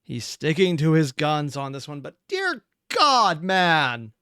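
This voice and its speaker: A man's voice: a little high, very animated, takes it slowly, sharp tone, smooth, dry recording, clean studio sound. A man giving casual reaction commentary as a video host, emotive and often exasperated.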